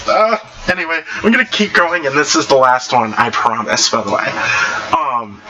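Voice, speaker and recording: A man casually reading anecdotes aloud in an animated way, stumbling and rereading phrases as he goes. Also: mid-range voice, moderate pace, a little hiss or hum, low-quality recording. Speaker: online story reader.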